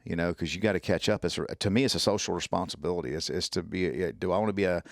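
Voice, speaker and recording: Podcast guest: middle-aged Mississippi man, low gravelly voice, folksy and animated, dry studio mic. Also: very fast, no echo. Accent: Mississippi Southern. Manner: folksy, animated, colloquial storyteller. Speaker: podcast guest, trauma care instructor. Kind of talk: casual podcast conversation.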